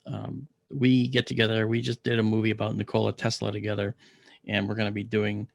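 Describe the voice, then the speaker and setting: A middle-aged man with a deep, gravelly voice from a paralyzed vocal cord, telling a story in an interview, clearing his throat often and using many fillers. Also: completely flat delivery, fairly quick, no echo. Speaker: interview guest, astronomer.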